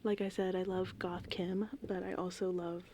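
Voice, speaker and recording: A woman rambling casually and informally in an ASMR style, with a soft, breathy voice that often slips into a whisper. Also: on the low side, normal speed, lo-fi sound, slight background noise. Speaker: ASMR host. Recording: steady rain and thunder ambience. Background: gentle rain and thunderstorm sounds.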